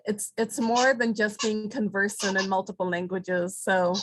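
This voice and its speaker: A woman's voice: mid-range voice, little pitch movement, unhurried, no echo, rough recording. A woman faculty member poses a question to the panel over a video call. She hesitates and apologizes, circling back as she searches for words. Her voice sounds close and dry. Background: fan noise.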